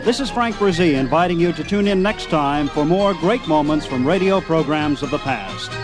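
American man, radio host, slightly high pitch, scripted sign-off, loud music behind. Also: animated, normal speed.

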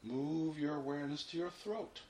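A man leading a guided meditation, giving unhurried, repeated instructions with long pauses between them. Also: a little high, a little mellow. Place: informal indoor room. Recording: roomy echo, faint background noise.

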